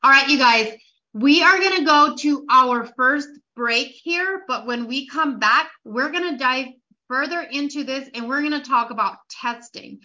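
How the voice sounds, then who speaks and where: A woman's voice: high-pitched, a little slow, sharp tone. A young woman hosting a podcast, talking casually and personally, with frequent "like" fillers. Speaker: podcast host. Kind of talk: casual solo podcast talk. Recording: slightly dull lo-fi audio.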